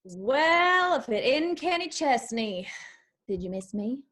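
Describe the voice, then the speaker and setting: A woman's voice: high voice, very animated, slow, a bit bright, heavily acted. A woman playing a young female country singer, guarded and weary but playfully teasing, in a screenplay table read.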